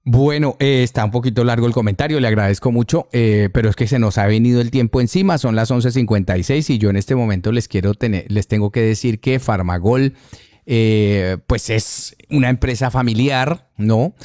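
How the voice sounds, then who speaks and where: A man's voice: mid-range voice, warm tone, dry recording. Male radio host, dry studio sound. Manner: conversational, repeats phone numbers slowly and deliberately. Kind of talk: live radio talk with read-out sponsor spots.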